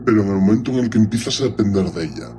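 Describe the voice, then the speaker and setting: A man's voice: lowish voice, rapid-fire, noisy background, natural voice. A man playing an ancient superhuman warlord in an audio drama, speaking with cold, commanding disdain and menace. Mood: condescending. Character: ancient imperious superhuman warlord primarch, menacing and coldly condescending.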